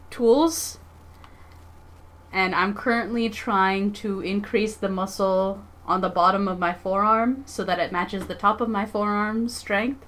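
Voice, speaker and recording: A woman livestreamer chatting casually with her viewers in a playful, expressive, giggly manner. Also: medium pitch. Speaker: live streamer and comics artist.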